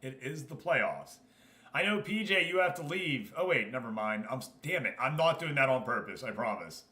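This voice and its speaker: Man, mid-pitched voice, casual gaming stream host, chatty and informal, addressing the group, roomy echo. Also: lively intonation, moderate pace, bright, forceful tone. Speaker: livestream host running an online football management game league. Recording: echoey, roomy sound.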